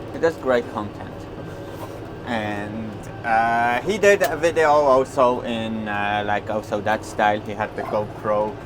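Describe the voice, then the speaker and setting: Slow-talking Swiss German man on a tractor. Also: medium pitch. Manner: rambling, halting, many fillers and restarts. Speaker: farmer vlogger. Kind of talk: casual talk while mowing in a tractor. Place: tractor cab in a field. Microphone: wireless clip-on mic. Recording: clear engine noise behind voice. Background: tractor engine noise.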